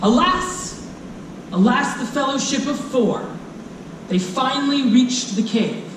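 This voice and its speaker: A distant, echoing live recording of a storyteller reading rhymes for children. The delivery is slow, playful and theatrical, with big swoops in pitch. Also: animated. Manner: playful, dramatic, drawn-out delivery with asides. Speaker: storyteller performing a children's book. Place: live performance room at a convention. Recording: roomy, distant live hall recording with noise.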